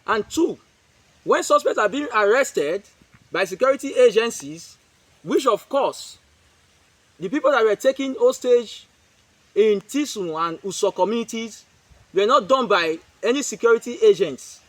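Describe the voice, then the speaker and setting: A man's voice: sits high, lively intonation, a little slow, dry recording, poor audio. A man with a Nigerian accent giving an emphatic press statement, repeating himself and posing rhetorical questions. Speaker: community group spokesman.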